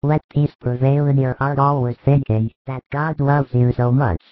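A calm holy teacher, played by a male storyteller, speaks at a medium pitch with solemn, kindly authority. He is reassuring and measured, as in a religious storybook. The sound is very dry and slightly muffled. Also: no echo, close to an everyday voice. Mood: comforting. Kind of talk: dramatized scripted religious storytelling. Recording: dull lo-fi recording.

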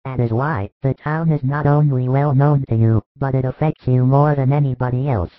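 Male reader as a grave, loving spiritual teacher for a storybook; emphatic pleading, mid pitch, narrow-band sound. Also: fairly even intonation, moderate pace, no room sound, natural voice. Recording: thin phone-like band, dry.

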